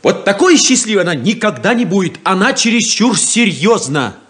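A naive, excitable young farm brother for a 1970s radio play. The voice is high and boyish, with wildly swinging, emphatic intonation. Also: male voice, moderate pace. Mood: excited, cocky.

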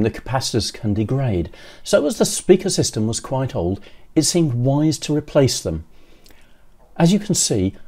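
Older guy doing a how-to voiceover, relaxed tone, pitch moves around a lot, explains step by step. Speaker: presenter of an electronics how-to video. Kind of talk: scripted explanatory narration.

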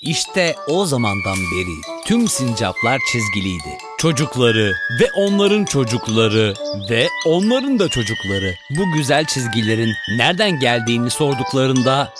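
A man's voice: mid-range voice, big pitch swings, moderate pace, hardly any pauses. A man narrating a scripted children's animal story as the storyteller. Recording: music behind the voice. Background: background music.

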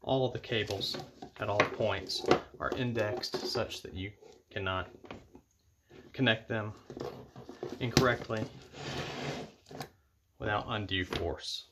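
Guy with a lowish voice doing a matter-of-fact how-to, noisy tabletop recording w/ clicks. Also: fairly even intonation, takes it slowly, long pauses. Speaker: instructor demonstrating equipment setup. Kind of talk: step-by-step instructional narration. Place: indoors at a tabletop. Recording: clear background noise with clicks.

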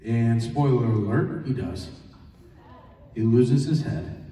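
A man, a pastor giving a plain-spoken, animated sermon to schoolchildren, full of rhetorical questions. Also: medium pitch, slow pace. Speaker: pastor leading a children's chapel. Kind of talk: sermon to fifth and sixth graders. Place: large school gymnasium, indoors. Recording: echoey gym, distant microphone.

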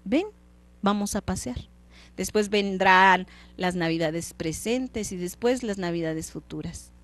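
A woman voicing an old, hunched spirit of Christmases past who speaks slowly and invites gently. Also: medium pitch. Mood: inviting.

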